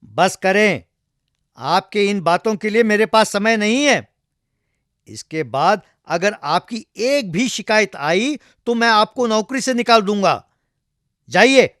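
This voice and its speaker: A stern king from a comic folktale, acted by a male storyteller. He sounds impatient and commanding, with a high male voice. The recording is very dry. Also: animated, slow pace, a bit bright, no room sound, heavily acted. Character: stern, impatient king scolding his subject.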